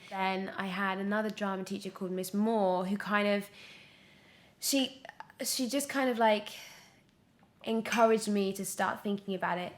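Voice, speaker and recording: Young brit woman chatting in an interview, telling little stories, giggly, quotes herself a lot. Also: mid-range voice. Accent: British. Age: young adult. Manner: chatty, animated storytelling with self-quotes and giggles. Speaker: actor, podcast guest. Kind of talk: casual interview anecdotes.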